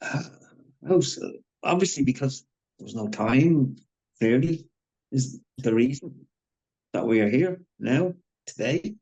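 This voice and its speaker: An actor playing an awkward, tactless man with halting delivery in a live thriller table read, slightly dull recording. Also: mid-range voice, animated, darkish tone, slightly rough.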